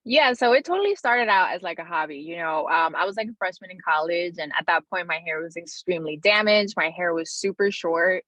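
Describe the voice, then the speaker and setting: New woman chatting about her life as a guest, lowish voice, no room echo, lots of like and you know. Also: brisk, few pauses, a bit bright. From Bronx, New York City. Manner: peppers talk with "like" and "you know". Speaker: interview guest, company founder. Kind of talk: casual personal storytelling.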